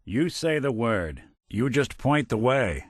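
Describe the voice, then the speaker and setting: A man's voice: medium pitch, very animated, slow pace, husky, no room sound, clean studio sound, heavily acted. A man voicing a weary, wry android private detective in a video game, world-weary and sardonic in an old-fashioned noir way. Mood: wry, ready.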